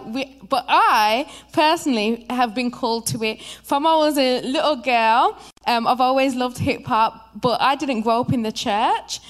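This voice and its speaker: High-voiced woman with a Birmingham accent. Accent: Birmingham English. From England. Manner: lively, chatty, personal. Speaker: guest preacher. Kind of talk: sermon introduction. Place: church.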